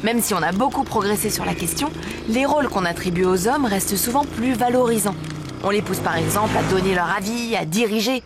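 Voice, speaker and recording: A woman's voice: mid-range voice, brisk, heavy background noise, close to an everyday voice. A woman playing a friendly cartoon presenter who explains things to children in a didactic, encouraging, scripted way. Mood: explanatory. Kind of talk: scripted educational cartoon narration.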